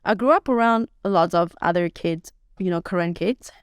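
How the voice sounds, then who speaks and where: A soft-spoken young woman who grew up on the Thailand-Burma border and whose first language is S'gaw Karen, telling her life story slowly and hesitantly as a podcast guest, with many pauses and fillers. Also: on the low side, smooth, no room sound. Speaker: interview guest. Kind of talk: personal life story interview. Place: podcast studio.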